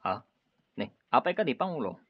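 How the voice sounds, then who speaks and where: An earnest young male rebel with a husky, middle-pitched voice. He speaks in radio drama style, on a dull, band-limited recording. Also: big pitch swings, fairly quick. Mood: respectful. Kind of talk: Ilocano radio drama acting.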